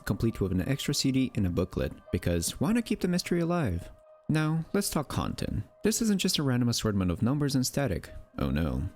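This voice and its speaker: A man's voice: mid-range voice, darkish tone. A man delivering scripted documentary-style narration in a theatrical manner, with playful asides and rhetorical questions. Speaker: narrator and presenter of a mystery video channel.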